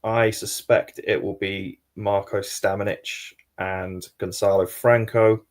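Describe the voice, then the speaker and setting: Geordie male guest, measured and thoughtful, lower voice. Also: flat delivery. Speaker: guest pundit. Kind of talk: casual football podcast chat.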